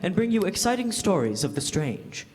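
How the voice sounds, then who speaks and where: A man's voice: high-pitched, sing-song, moderate pace, heavy background noise. A young man playing a formal, theatrical announcer and narrator of a 1940s-style radio drama. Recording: echoey hall, live stage.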